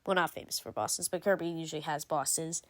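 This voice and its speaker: A woman's voice: low voice, steady tone, a little fast, no room sound. A woman giving casual voice-over commentary as a video creator, rambling with lots of "like" and frequent self-corrections. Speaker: video creator ranking game cutscenes.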